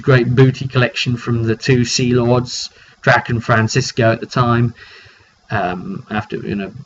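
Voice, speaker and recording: Male game master, mid-pitched, casual off-the-cuff storyteller with halting delivery; muffled home-grade recording. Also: a bit bright. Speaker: game master recounting a tabletop session. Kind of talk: casual, improvised storytelling of a fantasy roleplay game. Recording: dull lo-fi audio with faint noise.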